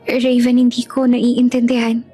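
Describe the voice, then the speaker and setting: A woman's voice: a little high, completely flat delivery, measured pace, warm tone, husky, faint music behind, voice pushed up. A woman voicing a hesitant, earnest young working woman in an audio love drama. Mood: anxious, puzzled. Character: timid but firm young working woman, confused, pleading.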